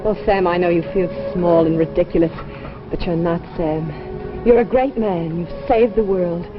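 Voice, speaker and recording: A woman's voice: lowish voice, normal speed, natural voice. An actress playing a tender, admiring woman from the future in a 1950s radio drama, earnest and consoling. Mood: tender, reverent. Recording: muffled vintage radio with prominent music.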